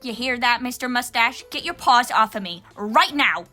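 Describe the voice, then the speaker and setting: A woman plays a sassy, bold teenage magical girl in a fan dub. The voice is bright and forceful, a little high, with faint music behind. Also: expressive, moderate pace. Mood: indignant. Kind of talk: fan dub character acting.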